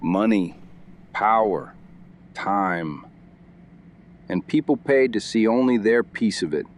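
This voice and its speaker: A man's voice: lowish voice, takes it slowly. A man voicing a composed male community leader who gives clipped, commanding instructions in a noir audio drama. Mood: terse. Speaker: council leader.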